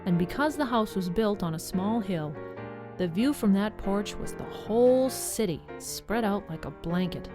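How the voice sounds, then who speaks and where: A woman's voice: mid-range voice, expressive, measured pace. A woman narrator reading scripted storybook narration for an audio drama. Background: music behind the voice.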